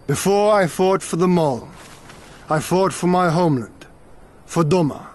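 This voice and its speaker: An actor playing an earnest, commanding exiled lord in a fantasy game, speaking formally and statelily. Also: male voice, high voice, a little slow, darkish tone. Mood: rallying, earnest. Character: noble exiled lord leading his homeland's liberation, earnest and commanding. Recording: echoey reverberant hall, music behind.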